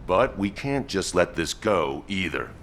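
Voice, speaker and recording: An actor playing a gruff, pragmatic squad commander in a video game, blunt and commanding. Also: male voice, low voice, sing-song, measured pace, a bit bright, a little hiss or hum, exaggerated voice. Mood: firm, pragmatic.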